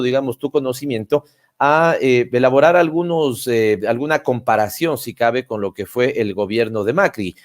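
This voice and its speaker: A man hosting a political talk show, who talks in a conversational way with many "eh" fillers. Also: mid-range voice. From Ecuador. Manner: warm conversational, with frequent "eh" fillers. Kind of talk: interviewing a guest.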